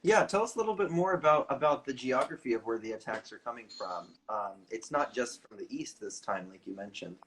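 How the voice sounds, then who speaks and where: A man's voice: mid-range voice, animated, brisk, hardly any pauses, fairly bright tone, a little hiss or hum, rough recording. A man hosting a live online interview, hesitant and full of ums as he asks his questions. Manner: hesitant, many ums and restarts. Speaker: interview host.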